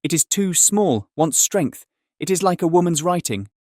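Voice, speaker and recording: A man playing a critical, opinionated gentleman in an audiobook drama, with a British accent and a dry, disapproving manner. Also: fairly high pitch, animated, moderate pace, no echo, close to an everyday voice. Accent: British English. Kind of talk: dramatized classic novel audiobook.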